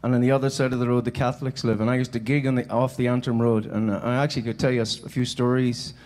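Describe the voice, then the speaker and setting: A man's voice: medium pitch, little pitch movement, fast talker, darkish tone. A man with a Northern Irish accent from around Belfast, speaking informally and conversationally as he tells personal stories in a live keynote talk. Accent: Northern Irish, Belfast area. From Northern Ireland. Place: on stage before a live audience.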